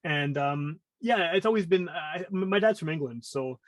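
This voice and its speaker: A man's voice: a little high, lively intonation, normal speed, dry recording, studio quality. A man of about forty with a Canadian accent, chatting casually as a podcast guest in a rambling, self-interrupting way. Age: around 40.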